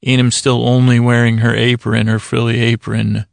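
Gravelly, meandering man lulling listeners to sleep. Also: medium pitch, little pitch movement, no echo, clean studio sound. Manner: gentle, soothing; meandering, halting, fumbling, self-interrupting. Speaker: podcast host. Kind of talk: rambling bedtime monologue to lull listeners to sleep.

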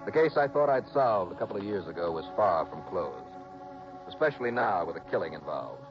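A wry hardboiled investigator. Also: male voice, low-pitched, sing-song, brisk, over music. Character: hardboiled male freelance insurance investigator. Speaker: insurance investigator. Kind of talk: 1960s radio drama acting. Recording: dull old radio recording, faint hiss.

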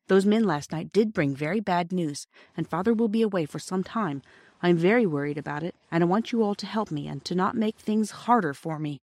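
A careworn Edwardian mother as a woman reader plays her, gentle yet firm with her children. The storybook voice is tender, restrained and lowish, recorded dry. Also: a little fast, no echo. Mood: strained, tender.

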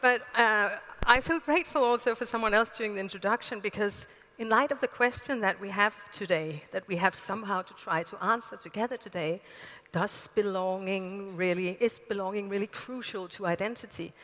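A woman leads a public talk from a stage, weighing her words and pausing to find them. Her voice is bright and crisp, and the hall adds a long ringing reverb. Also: medium pitch. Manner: thoughtful, searching for words. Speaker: moderator. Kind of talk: moderating a public conversation.

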